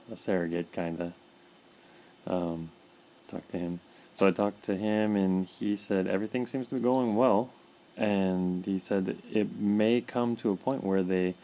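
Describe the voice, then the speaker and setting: A man talking casually in a personal video diary, halting with fillers and repeated words, his mouth dry. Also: deep voice, dark tone, poor audio. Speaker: vlogger documenting his illness.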